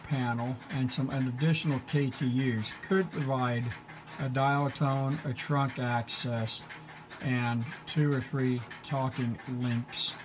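Slow-talking man explaining technical gear. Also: mid-range voice, steady tone, rough recording. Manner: matter-of-fact, halting, explanatory. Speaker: presenter, telephone equipment collector. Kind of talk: informal technical show-and-tell.

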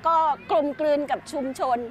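An older woman speaks hesitantly in an outdoor interview, pausing often with fillers. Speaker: local interviewee. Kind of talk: casual interview talk. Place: outdoors at an ancient stone temple. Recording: outdoor with faint ambience.

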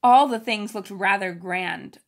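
A woman reads a children's storybook aloud in a medium-pitched voice. She puts expressive emphasis on the telling and explains things informally as she goes. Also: measured pace, gravelly. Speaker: storybook reader.

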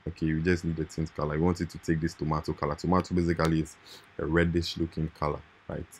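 Man, very deep voice, flat level delivery, coding tutorial narration, informal, keyboard and mouse clicks. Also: fast, slight background noise. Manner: informal, repeats points with "right?" and "okay". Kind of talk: screen-recorded coding tutorial.

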